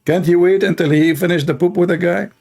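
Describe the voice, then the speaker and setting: Guy running a debate stream, mellow voice, sarcastic and rambly, lots of "you know". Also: sits high, steady tone, fast, creaky. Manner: mocking, rambling, full of fillers. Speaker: livestream debate host. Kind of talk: sarcastic livestream talk.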